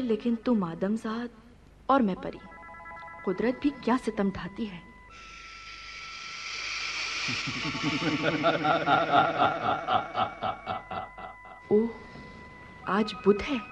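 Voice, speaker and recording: A woman playing a lovestruck, proud fairy in a radio play, with a theatrical, sighing delivery. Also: mid-range voice. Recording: music playing behind the voice.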